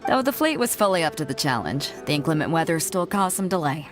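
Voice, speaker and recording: Confident female sea captain, lowish commanding voice, game dub. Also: sing-song, quick pace. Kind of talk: video game voice acting. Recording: game dub with faint music.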